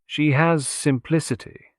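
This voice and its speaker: A man voicing a shrewd, courteous male detective who speaks in a mild, deliberate, philosophical way. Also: on the high side, fairly even intonation, no echo, clean studio sound, voice pushed up, close to an everyday voice. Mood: grave, deliberate.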